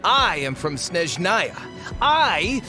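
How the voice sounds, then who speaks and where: High, forceful, defiant male treasure hunter. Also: sing-song, takes it slowly, faint music behind, exaggerated voice. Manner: defiant, emphatic. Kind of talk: scripted game dialogue.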